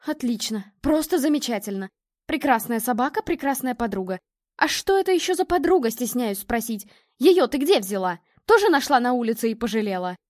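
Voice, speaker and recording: A woman's voice: fairly high pitch, expressive, quick pace, a little mellow, no room sound, clean studio sound, natural voice. A woman voicing a domineering, snobbish mother in a story, cutting, sarcastic and commanding. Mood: icy sarcasm.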